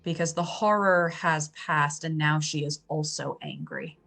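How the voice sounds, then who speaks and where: A woman playing a tabletop roleplay game, improvising third-person story narration in measured, dramatic short phrases. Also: deep voice, sing-song, fairly bright tone.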